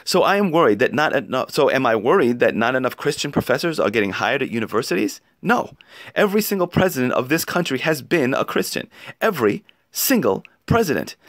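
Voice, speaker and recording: A man reading an opinion essay aloud in an expressive, emphatic and rhetorical way. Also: mid-range voice, brisk, dry recording, clean studio sound. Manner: emphatic, rhetorical delivery with pointed questions. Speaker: narrator reading an article aloud.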